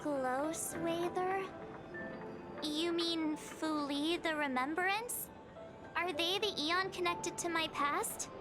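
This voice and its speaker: A woman voicing a bubbly, headstrong teenage girl adventurer in a video game, perky and expressive. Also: very high voice, slow. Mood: flustered. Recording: game music behind the voice.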